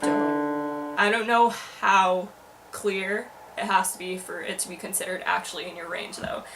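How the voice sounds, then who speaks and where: A woman's voice: fairly low pitch, moderate pace, recorded from a distance, loud music behind the voice, poor audio. A young woman talking casually and confessionally to camera, in a hesitant, self-deprecating, informal way. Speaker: vlogger.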